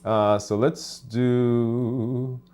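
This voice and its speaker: A man's voice: medium pitch, flat delivery, extremely slow, dark tone. A man, a physician, hosting a casual live stream from his office, chatting with fillers and restarts while he answers viewer comments.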